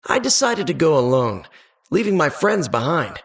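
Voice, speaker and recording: A man's voice: fairly high pitch, very animated, normal speed, gravelly, slightly rough, full character voice. A man playing an earnest adventurer who narrates childhood dungeon exploits for a video game in a suspenseful, wistful storybook manner. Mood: solemn suspense.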